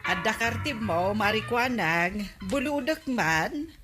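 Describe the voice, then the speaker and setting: A woman's voice: fairly high pitch, animated, takes it slowly. A woman playing an inquisitive, nagging village woman with an Ilocano accent in a radio comedy drama, questioning in a matter-of-fact way. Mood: curious. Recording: faint music under voice. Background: faint music.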